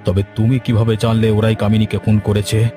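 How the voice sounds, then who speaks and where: A man's voice: on the low side, monotone, a little fast, over music, natural voice. A man voicing an authoritative village headman in a cartoon, stern and concerned, with theatrical delivery. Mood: shocked, stern. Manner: theatrical, declarative. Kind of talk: Bengali cartoon voice acting.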